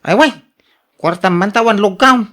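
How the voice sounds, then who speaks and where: A woman's voice: mid-range voice, normal speed, fairly bright tone, close to an everyday voice. A woman with an Ilocano accent playing a lazy, whiny, quarrelsome husband in a radio drama. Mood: lazy, irritated.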